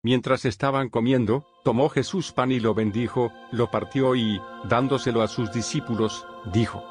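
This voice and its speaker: A man narrating a dramatized gospel reading at a slow, steady pace. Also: mid-range voice, faint music behind.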